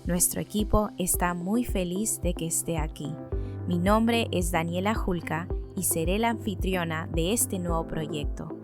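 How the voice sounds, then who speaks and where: A woman hosting a podcast, reading a formal intro and asking interview questions with clear, measured delivery. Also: on the low side. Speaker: podcast host. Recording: clear music behind the voice. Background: music bed.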